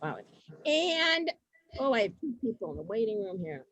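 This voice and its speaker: A woman hosting an online event over a video call, chatting informally and haltingly, often repeating her phrases. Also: fairly high pitch, sing-song, a bit bright. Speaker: host of an online reader's theater event.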